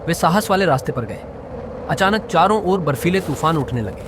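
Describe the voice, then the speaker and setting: A man's voice: a little high, expressive, normal speed. A man narrating a scripted moral story for children as a storyteller. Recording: clearly noisy background.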